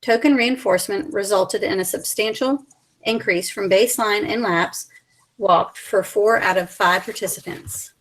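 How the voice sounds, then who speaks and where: Woman in her forties, middle pitch, steady scripted reading, small slips. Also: normal speed, rough recording. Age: 40s. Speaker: graduate student presenter. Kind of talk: reading a class presentation.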